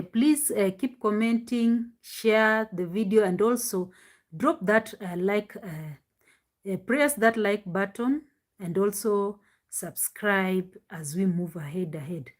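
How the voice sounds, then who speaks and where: Livestream host: a woman with a deep voice who pushes it loud and strained, chatting casually, no external mic. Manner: talks loudly and with strain. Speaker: live-stream reaction host. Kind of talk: casual live-stream chat with viewers. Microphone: no external microphone, device mic.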